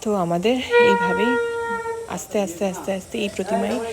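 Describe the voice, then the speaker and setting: A woman's voice: mid-range voice, moderate pace, over loud music. A woman with a West Bengali accent hosting a casual vlog, talking directly and conversationally to her viewers. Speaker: vlog host. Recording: noisy on-location recording with loud ambience.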